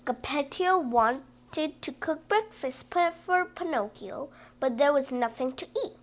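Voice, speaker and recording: Woman, high voice, slow pace, children's read-aloud, emphatic storyteller. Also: lively intonation. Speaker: storybook reader.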